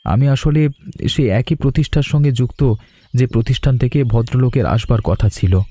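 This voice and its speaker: A man as a very mellow, courteous young traveller. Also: mid-range voice, no echo, close to an everyday voice. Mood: polite, serious. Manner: polite, measured, earnest. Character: courteous, reassuring young male traveller with business sense. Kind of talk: cartoon drama dialogue. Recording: music under the voice.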